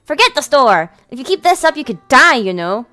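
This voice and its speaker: A prim young lady, played by a woman, the doting little sister of a visual novel. The voice is high and lilting, composed but teasing and sweetly scolding. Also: big pitch swings, brisk, pushed and bright, faint music behind, exaggerated voice. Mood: stern.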